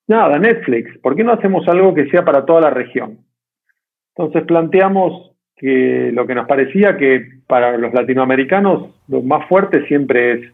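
A man's voice: a little high, creaky, breathy edge. A man with an Argentine accent, answering interview questions conversationally as a guest. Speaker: interview guest, documentary director. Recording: tinny, narrow phone-like call audio.

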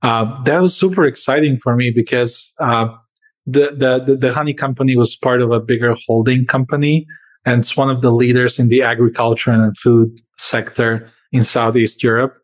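A man's voice: medium pitch, steady tone, moderate pace. A man who grew up in Serbia answers interview questions as a company founder, speaking earnestly with frequent fillers and shifts in pace.

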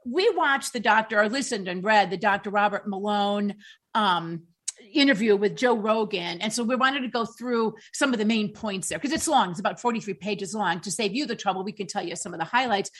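A woman's voice: medium pitch, fairly quick, fairly bright tone. A woman co-hosting a casual talk radio show, chatty and laughing often, with many ums. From Colorado.

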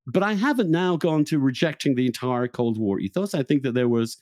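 A man hosting a reflective intellectual conversation, halting and searching for words, often repeating himself mid-phrase. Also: mid-range voice, animated, darkish tone, no echo, studio quality. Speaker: podcast host.

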